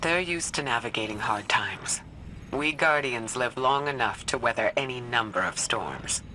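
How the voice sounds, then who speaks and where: An actress playing a wise female warrior-scholar in a video game, measured, solemn and assured. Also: rumbling low, animated, sharp tone, slight background noise, exaggerated voice, rough recording. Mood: calm, reassuring. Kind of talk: video game dialogue and story narration.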